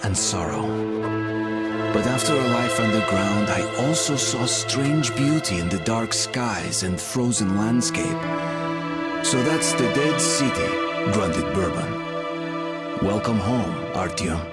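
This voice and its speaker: An actor playing a reflective young male survivor, narrating a video game story in a solemn, measured way. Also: lowish voice, expressive. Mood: somber, wistful. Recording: loud music bed under voice.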